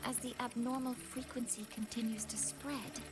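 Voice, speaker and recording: High female voice, a woman acting, polite young researcher, formal, earnest, video game, loud music behind. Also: steady tone, normal speed, natural voice. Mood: serious. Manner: formal, considerate.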